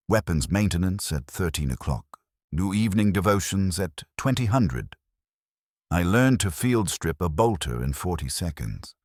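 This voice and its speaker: Very deep male narrator, solemn and measured. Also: no room sound, studio quality. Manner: measured, solemn, clipped delivery in short statements. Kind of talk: scripted first-person dramatic story narration.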